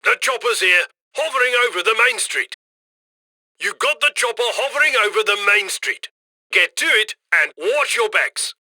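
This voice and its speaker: A man's voice: sits high, expressive, normal speed, sharp tone, dry recording, exaggerated voice. An actor playing a cocky, jovial heist mastermind in a video game, chummy and theatrical as he guides his crew over radio comms. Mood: briskly instructing. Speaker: mission handler voice in a game. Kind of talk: scripted video game mission voice lines.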